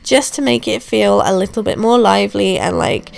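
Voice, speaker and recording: A young woman with a hoarse voice from a throat infection, narrating her home renovation vlog in a chatty, relaxed way. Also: medium pitch, normal speed. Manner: chatty, relaxed, self-deprecating. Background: soft background music.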